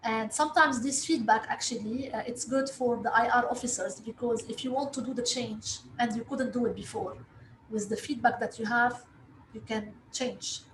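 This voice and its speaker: A woman from Lebanon, a native Arabic speaker, answering interview questions in a halting way with frequent filled pauses. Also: on the high side, fairly even intonation, a bit bright. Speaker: interview guest, investor relations director. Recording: echoey room with faint background noise.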